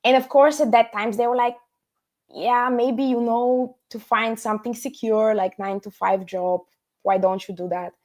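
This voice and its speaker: A young woman from Bulgaria answering casually as an interview guest, reflective and earnest, with hesitant pauses. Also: on the high side, steady tone, a little mellow. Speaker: interview guest, startup founder.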